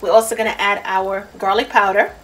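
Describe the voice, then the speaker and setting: A woman's voice: medium pitch, steady tone, brisk, a bit bright, slight background noise, rough recording. A woman narrating a recipe casually as a cooking host, chatty and playful, talking straight to viewers.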